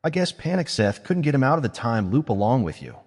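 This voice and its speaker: Blunt suspicious young wizard guy, a bit higher than the narrator, man voicing him, husky, echoey. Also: big pitch swings, a little fast, darkish tone. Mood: probing. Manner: guarded, dry, confrontational. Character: wary, blunt young male mage.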